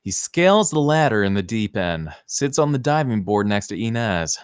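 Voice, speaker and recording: A man reads screenplay action lines with expressive, swinging intonation. The delivery is dramatic and punchy, in a close, dry sound. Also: on the low side, moderate pace, clear, resonant tone, dry recording. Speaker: stage-direction narrator. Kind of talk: scripted screenplay narration.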